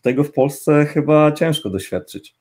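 A man's voice: a little high, animated, unhurried, darkish tone. A young man hosting an interview over a video call with a headset, asking long, winding questions with fillers. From Poland. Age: young adult. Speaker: interview host. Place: indoor room with gaming chair.

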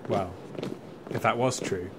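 An actor playing a nostalgic, proud and wry Austrian football fan, telling his story theatrically in an audio play. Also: lowish voice. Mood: wry, defiant. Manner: theatrical, emphatic, with weighty pauses. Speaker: narrator. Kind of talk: dramatic first-person storytelling in an audio play. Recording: music and crowd noise behind.

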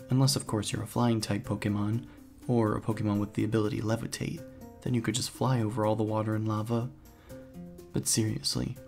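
A man's voice: fairly low pitch, vocal fry, darkish tone. A man reading scripted first-person story narration for a video game playthrough, as the hero. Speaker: narrator and let's player, speaking in character as hero. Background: background music.

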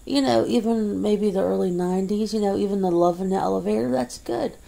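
A woman's voice: mid-range voice, a little fast, gravelly, warm tone. A woman doing an ASMR roleplay at home, rambling chattily to an unseen partner with frequent laughs and fillers. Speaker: ASMR creator. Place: indoors at home.

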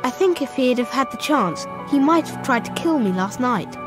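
A woman's voice: high-pitched, lively intonation, moderate pace. A woman playing an earnest, anxious young schoolboy hero with an English accent in a game cutscene. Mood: worried. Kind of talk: dramatic game cutscene dialogue. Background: music.

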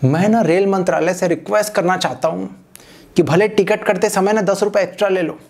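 A young man doing a stand-up comedy set on a small stage, telling an animated story and acting out other people's voices. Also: sits high, fairly quick, vocal fry. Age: young adult. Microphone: handheld mic. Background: audience laughter.

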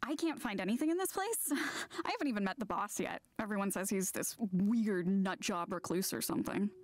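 A woman's voice: mid-range voice, animated, fairly quick, no echo, lightly acted. A woman playing a flustered, chatty, eager young new employee, apologetic and rambling, in a video game. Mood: chirpy. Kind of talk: naturalistic video game voice acting.

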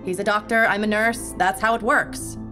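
An actress playing a defensive, sardonic hospital nurse in a horror audio drama, pointed and matter-of-fact. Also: female voice, mid-range voice, fairly even intonation, moderate pace, music in the background, lightly acted.

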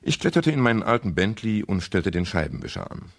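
Wry private detective for radio crime drama: very deep, creaky, laconic, chivalrous but weary. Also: male voice, sing-song, fairly quick, no room sound, studio quality, full character voice. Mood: reflective narration. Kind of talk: 1970s German radio crime drama acting and narration.

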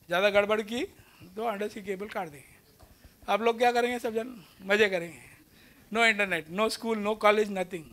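A man's voice: extremely high pitch, bright, forceful tone. A man in his fifties with an Indian English accent, giving a conversational lecture to students in a large auditorium, asking them rhetorical questions and mixing in Hindi, recorded on a lapel mic. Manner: walks the stage gesturing while speaking. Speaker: guest lecturer.

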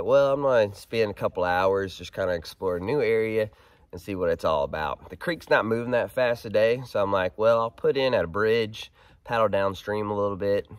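A man in his thirties with a hoarse, froggy voice from a head cold, chatting casually as he fishes from a kayak on a creek, filmed by a pole-mounted camera. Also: lowish voice, moderate pace, dry recording. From Arkansas. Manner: chatty and upbeat, excited while reeling in fish. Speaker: fishing vlogger. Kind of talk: casual outdoor vlog. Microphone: action camera on a pole mount.

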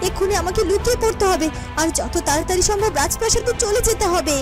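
A woman's voice: very high-pitched, fast talker, music in the background, pitched below the performer's own voice. A woman voicing a righteous shapeshifting snake woman in a fairy-tale cartoon, dramatic and emphatic. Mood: anxious.

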